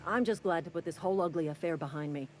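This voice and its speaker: An actress playing a blunt, pragmatic small-town company worker in a video game, plain-spoken and folksy. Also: female voice, on the low side, quick pace, darkish tone, faint music behind, close to an everyday voice. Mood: blunt, relieved. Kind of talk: video game character dialogue.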